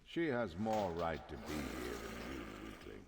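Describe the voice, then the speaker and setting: A stern male deity from a fantasy game. The delivery is solemn and authoritative, very deep yet highly inflected, over loud background ambience. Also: very animated, measured pace, vocal fry, heavy background noise, exaggerated voice. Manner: solemn, commanding. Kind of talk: video game fantasy acting.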